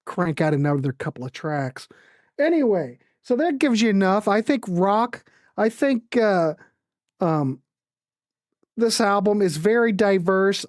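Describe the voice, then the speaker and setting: A woman hosts a casual livestream about records, her voice swinging widely in pitch. She rambles and jokes informally with her viewers, and the sound is dry and close, with little room around it. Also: a little mellow, no room sound. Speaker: music show host.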